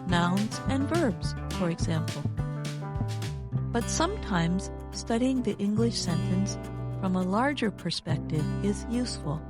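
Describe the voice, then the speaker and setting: A woman's voice: mid-range voice, lively intonation, unhurried, loud music behind the voice. A woman co-hosting an educational podcast, reading a scripted lesson in a careful, instructional manner. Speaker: co-host of an English lesson podcast.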